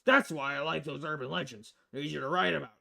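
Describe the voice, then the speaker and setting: A man's voice: on the high side, fast, creaky, sharp tone, heavily acted. A man voicing a crass, cynical, money-loving newspaper editor in a visual novel, brash and foul-mouthed in manner.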